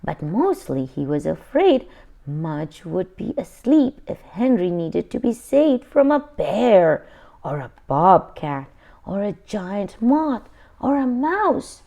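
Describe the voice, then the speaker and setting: A woman's voice: mid-range voice, sing-song, takes it slowly, creaky, warm tone, a little hiss or hum. A woman reading a children's picture book aloud expressively, adding simple asides for young listeners.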